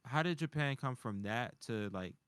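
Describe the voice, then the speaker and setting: A man's voice: medium pitch, lively intonation, normal speed, a bit bright, clear, resonant tone, dry recording, studio quality. A man chatting casually, swearing now and then and often repeating himself as he finds his phrasing. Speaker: panelist on a nerd culture show. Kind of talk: casual chat.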